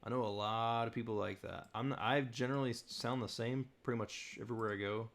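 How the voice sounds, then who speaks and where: A man's voice: medium pitch, steady tone, brisk, fairly bright tone. A man from the southern United States hosting a casual livestream Q&A, chatty and rambling, laughing often. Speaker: host.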